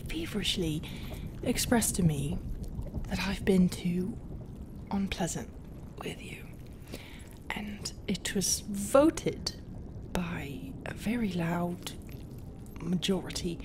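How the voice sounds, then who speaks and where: A woman playing a haughty, bitter pirate captain in an ASMR roleplay, scornful and often dropping into whispers. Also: on the low side. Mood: grudging, awkward. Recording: clear ambient noise behind the voice.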